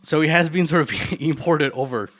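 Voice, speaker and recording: A man's voice: on the high side, normal speed, fairly bright tone. Playful Argentine man chatting on stream. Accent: Argentine Spanish. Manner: playful and teasing, laughs often. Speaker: programming livestreamer. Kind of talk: casual chat with live chat.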